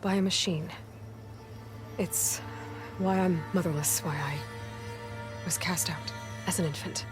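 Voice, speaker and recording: A woman playing a determined, guarded young adventurer in a video game, speaking earnestly in short, halting phrases. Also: fairly low pitch, steady tone, lightly acted. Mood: solemn, halting. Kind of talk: naturalistic video game voice acting. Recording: game dialogue over background music. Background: music.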